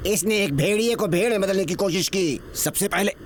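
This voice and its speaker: A man voicing a stern, gruff wolf from a pack in a Hindi cartoon dub. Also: high-pitched, a little fast, a little hiss or hum, natural voice. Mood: stern, accusing. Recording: music behind the voice.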